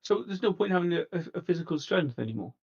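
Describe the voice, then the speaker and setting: A man's voice: sits high, expressive, fast, a little mellow, highs cut off. A man playing a tabletop game, stating his actions and dice results in casual talk.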